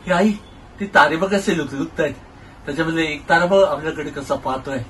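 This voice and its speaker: A high-voiced, anxious young son from a poor family, played by a man in an amateur one-act play. He pleads insistently and repeats himself. The voice is picked up from a distance on stage, with slight background noise. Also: brisk, a bit bright, close to an everyday voice. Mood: excited, insistent.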